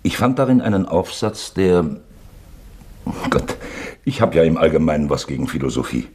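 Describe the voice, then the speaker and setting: An actor playing an aging, brooding uncle who muses hesitantly, in 1960s German radio drama style. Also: male voice, a bass voice, big pitch swings, moderate pace, a little mellow, breathy edge, full character voice. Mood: musing. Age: older. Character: aging, brooding uncle turning cranky and mystical.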